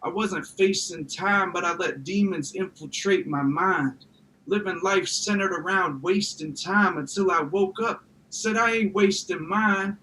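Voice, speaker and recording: A man with a high-pitched voice recites slam poetry. His delivery is rhythmic and emphatic, riding the rhymes like a spoken-word performer. There is some faint noise behind the voice. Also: a little slow, pushed and bright, poor audio. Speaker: slam poet.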